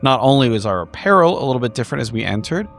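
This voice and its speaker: A man's voice: medium pitch, very animated, very fast, a bit bright. A man with a wry, wordy, articulate way of talking, narrating like a tabletop roleplaying podcast player. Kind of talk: casual banter and narrated session recap. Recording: music behind the voice. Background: music.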